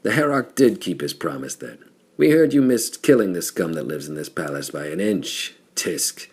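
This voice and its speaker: A man voicing a gruff, amused giant brawler with a hearty, growling manner in an audiobook. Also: deep voice, expressive, normal speed, full character voice.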